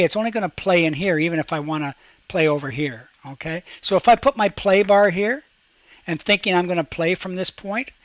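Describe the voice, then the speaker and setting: A man teaching a class, talking casually through each step of a software tutorial and checking in as he goes. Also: a little high, no room sound. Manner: talks through each step while demonstrating, checks in with "okay".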